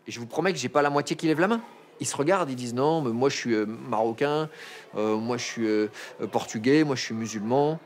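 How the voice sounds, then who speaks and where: A man's voice: mid-range voice, fairly quick, slight background noise. A man teaching a class, explaining firmly and checking that pupils understand. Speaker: school teacher. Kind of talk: addressing a class.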